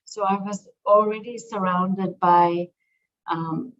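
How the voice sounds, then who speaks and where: Middle-aged woman from sri lanka, museum director in an interview, talks slowly thinking it through, lots of ums, kinda muffled lo-fi sound. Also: medium pitch, steady tone. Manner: thoughtful, searching for words with many fillers. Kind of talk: interview conversation. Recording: dull lo-fi sound.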